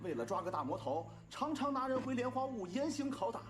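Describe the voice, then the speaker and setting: An actor voicing a chatty, gossipy innkeeper in an animated series. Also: medium pitch, fast, music in the background, natural voice. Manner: chatty, salesy. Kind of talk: Chinese animated series dub.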